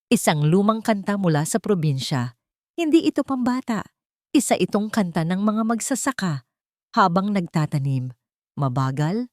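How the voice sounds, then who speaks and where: A woman with a Filipino accent narrating a dramatic story as an audiobook, phrasing it deliberately in short, suspenseful beats. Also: on the low side, darkish tone, no room sound, clean studio sound.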